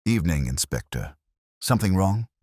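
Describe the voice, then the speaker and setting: A man playing a weary, guilty, deep-voiced caretaker. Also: very animated, normal speed, darkish tone, breathy edge, no room sound, studio quality, exaggerated voice. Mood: uneasy. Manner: faint, hesitant, confessional. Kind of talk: audio drama character reading.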